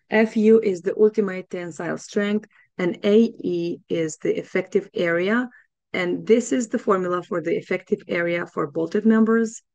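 A woman in her thirties, hoarse from recent laryngitis, explaining engineering exam material in a steady, methodical lesson. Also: fairly low pitch. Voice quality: gravelly voice. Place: small indoor room with a plant.

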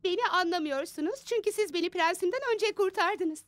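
A woman's voice: very high voice, a little fast, no room sound. A woman voicing a naive, theatrical young fairy-tale princess with haughty airs in a cartoon dub. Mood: flustered, insistent.

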